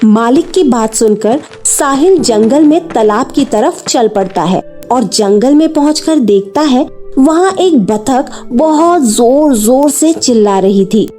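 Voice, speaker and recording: A woman's voice: sits high, lively intonation, slow pace, hardly any pauses, warm tone, soft background music. A woman narrating a scripted children's story.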